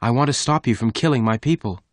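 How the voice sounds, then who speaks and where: A man voicing an earnest young woman who speaks softly and pleadingly, in a raised voice. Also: medium pitch, quick pace, no echo, clean studio sound, voice pushed up, close to an everyday voice. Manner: soft, pleading. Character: unhappy, earnest young woman, mistress of the ranch.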